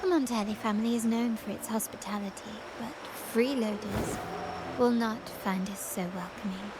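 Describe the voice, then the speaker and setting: An actress playing a poised, hospitable yet menacing woman of a powerful family in a video game, speaking formally and deliberately. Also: a little high, fairly even intonation, background noise, close to an everyday voice. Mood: coolly warning. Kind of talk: video game story voice acting. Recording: music playing behind the voice. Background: game music.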